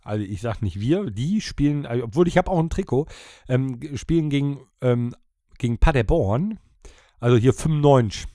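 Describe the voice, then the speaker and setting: A man's voice: mid-range voice, lively intonation, normal speed, dark tone, no echo, clean studio sound. A man co-hosting a podcast in casual, joking chat. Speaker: podcast co-host.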